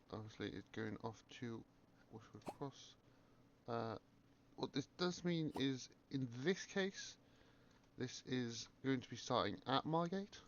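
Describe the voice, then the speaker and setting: A man with a croaky voice from a cough, calmly commentating a game and thinking aloud with many pauses. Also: mid-range voice, highs cut off, slight background noise. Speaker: gaming video creator. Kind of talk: relaxed gameplay commentary.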